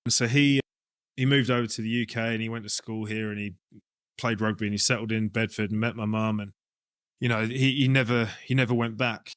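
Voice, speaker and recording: A man's voice: lowish voice, steady tone, brisk, a bit bright, smooth, no room sound, clean studio sound. A man with an English accent talking casually as an interview guest, explaining his ideas informally as he thinks them through. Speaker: rugby coach and club director, interview guest.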